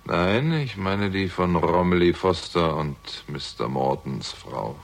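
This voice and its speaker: An actor playing a jaded, hard-drinking theatrical agent with a conscience, sardonic and world-weary, in a 1960s German radio crime drama. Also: male voice, low-pitched, lively intonation, slow, vocal fry, full character voice. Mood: wry, weary.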